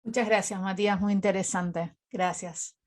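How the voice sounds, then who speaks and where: A woman's voice: mid-range voice, fairly even intonation, moderate pace. A woman informally moderating a session over a video call. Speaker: session coordinator and presenter.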